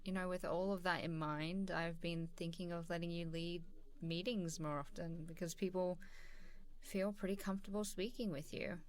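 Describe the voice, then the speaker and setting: Woman acting as supportive female boss, low voice, warm and easygoing, encouraging, casual conversational ASMR role-play. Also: fairly even intonation, normal speed, lightly acted. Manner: encouraging, casual, chuckling hesitantly between phrases. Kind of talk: ASMR role-play, softly conversational one-sided dialogue.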